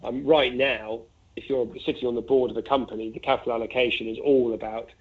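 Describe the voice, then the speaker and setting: A man's voice: mid-range voice, steady tone, fast talker. A man being interviewed as an investment fund manager, talking conversationally and thinking aloud with asides and examples over a phone-like line. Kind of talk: conversational market commentary. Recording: narrow, tinny phone-like line.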